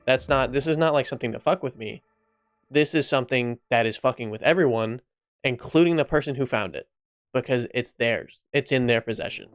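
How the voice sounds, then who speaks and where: A man's voice: medium pitch, fairly quick, clean tone, dry recording, clean studio sound. A man giving casual opinion commentary as a video host, informal and profane, often repeating himself for emphasis.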